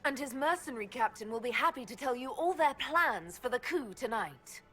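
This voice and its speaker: An actress playing a composed, principled heroine in a fantasy game, speaking in an earnest, direct, restrained way. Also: female voice, fairly high pitch, expressive, normal speed, a bit bright, soft background music. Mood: firm.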